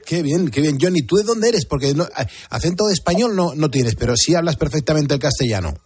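A man with a Castilian accent from Spain, hosting live radio in a chatty, encouraging way with a husky voice. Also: fairly high pitch, lively intonation, fairly quick, a little mellow, dry recording. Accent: Spanish, from Spain. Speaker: radio host.

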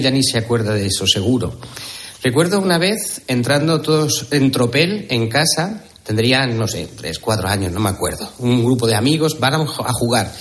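A man's voice: medium pitch, a bit bright. A man on a radio talk panel, earnest and reflective as he tells a family anecdote. His voice sits in a plain middle range.

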